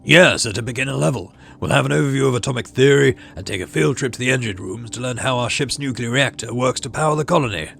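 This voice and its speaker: A man's voice: mid-range voice, quick pace, vocal fry, few pauses, a bit bright. A man voicing an instructive professor explaining lessons in a sci-fi game. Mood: informative. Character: male professor explaining lessons to colony children. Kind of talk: reading visual novel game dialogue.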